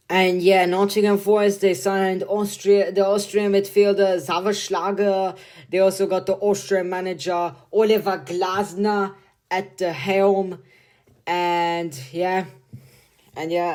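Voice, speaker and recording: A man's voice: high-pitched, darkish tone. A man with a slight Hungarian accent, giving casual football predictions in a vlog, rambling and leaning heavily on "like" and "bro". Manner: rambling, filler-heavy, says "like" and "bro" a lot. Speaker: football vlogger.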